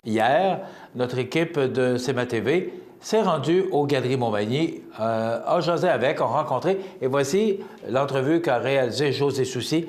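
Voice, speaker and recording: A man's voice: mid-range voice, animated, moderate pace, a little mellow, reverberant. A man with a Quebec French accent hosting a local TV morning show, chatting casually with many fillers and restarts. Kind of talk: casual on-air news chat. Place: TV studio.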